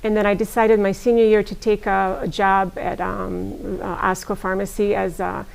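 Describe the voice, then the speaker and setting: A middle-aged woman from Serbia, whose first language is Serbian, answers questions at an average pitch with a gravelly edge. She speaks in a measured, thoughtful way and pauses often to gather her words. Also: level tone, poor audio. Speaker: guest, pharmacist. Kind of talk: casual interview answers.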